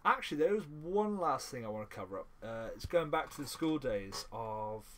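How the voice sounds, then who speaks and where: Male podcast host, mid-range voice, informal and halting, tends to repeat himself. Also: medium pitch, lively intonation, slight background noise. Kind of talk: casual chat.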